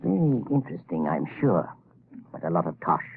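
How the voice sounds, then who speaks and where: An actor playing a nervous, evasive male professor, stammering and husky in a 1930s radio drama. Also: deep voice, sing-song, fairly quick, full character voice. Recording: tinny 1930s radio broadcast.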